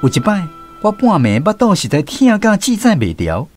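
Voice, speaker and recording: A middle-aged man narrating a scripted first-person radio story in a folksy, expressive way, with a Taiwanese accent. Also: sits high, normal speed, soft background music. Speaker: drama narrator.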